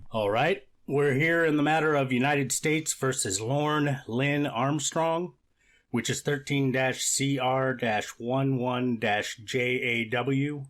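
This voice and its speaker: A man plays a methodical male judge in a readers theater hearing. He has a mid-pitched voice and speaks formally, setting out procedure in measured phrases. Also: a bit bright. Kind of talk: readers theater courtroom reenactment.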